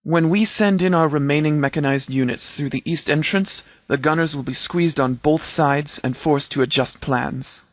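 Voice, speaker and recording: A man's voice: medium pitch, fairly bright tone, no echo, natural voice. Man playing a stern, dry-recorded game sheriff. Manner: measured, formal, authoritative, clipped orders. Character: stern, composed sheriff of a post-apocalyptic militia. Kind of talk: scripted video game dialogue.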